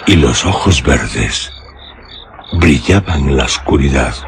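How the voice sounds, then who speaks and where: A man narrating a literary tale for radio theatre in a solemn, deliberate manner with dramatic pauses. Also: extremely low pitch, slight background noise. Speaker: radio storyteller.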